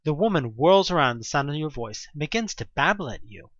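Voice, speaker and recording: Story narrator, scripted second-person delivery, animated intonation, mid pitch, lo-fi. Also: brisk, dry recording.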